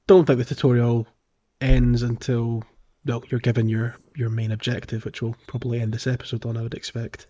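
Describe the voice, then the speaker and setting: A man co-hosting a podcast, casually discussing a video game in a halting, thinking-aloud way. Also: medium pitch, flat delivery, mellow. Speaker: podcast co-host. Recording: slightly dull, lo-fi podcast audio.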